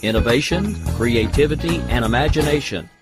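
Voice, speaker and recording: A man booms out a network promo in a dramatic style. Music plays clearly behind him. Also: lively intonation, fairly quick. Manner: booming, dramatic. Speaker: network announcer.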